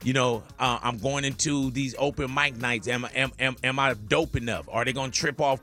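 A man hosting a radio show, bantering loudly and playfully with slang. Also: mid-range voice, fairly even intonation, normal speed, soft background music. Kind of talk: casual radio interview.

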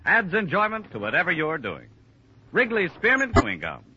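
A man's voice: sits high, wildly swooping pitch, normal speed, background noise. An American man announcing for a sponsor on vintage radio, with emphatic, polished salesmanship. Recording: dull old radio recording with music.

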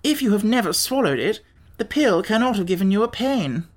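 Woman doing a pedantic talking insect, pitched up. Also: very animated, fairly quick, a little mellow. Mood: pedantic, insistent. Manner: declarative, lecturing. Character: pedantic, self-assured male talking insect, stubbornly logical.

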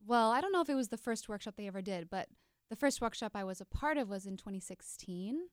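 A woman's voice: mid-range voice, expressive, fast, no echo, studio quality. A woman, a stage actress, talking casually as a guest in a group interview.

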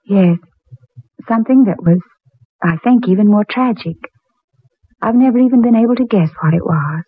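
A woman's voice: medium pitch, normal speed, slightly rough, dry recording, close to an everyday voice. Woman acting a wistful young woman, muffled 1940s radio. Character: warm, wistful young woman assisting an inventor.

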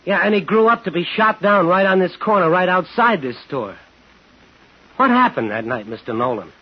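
Bitter, determined male homecomer; terse, pressing, hard-boiled radio-thriller delivery, slightly high pitch, muffled sound. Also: expressive, normal speed, slightly rough. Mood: urgent, demanding. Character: determined, probing man in uniform, bitter homecomer. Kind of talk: 1950s radio thriller acting and first-person narration. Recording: dull 1950s radio recording.

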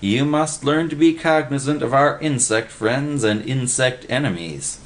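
A man's voice: mid-range voice, measured pace, pitched above the performer's own voice, lightly acted. A man voicing a pompous, bossy know-it-all bum who lectures in wordy, pedantic language in a storybook reading. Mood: lecturing.

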